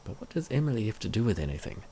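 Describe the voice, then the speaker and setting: A man's voice: on the low side, big pitch swings, very fast, mellow, a little hiss or hum. A man giving reaction commentary on a comic, with mock-dramatic asides and rhetorical questions.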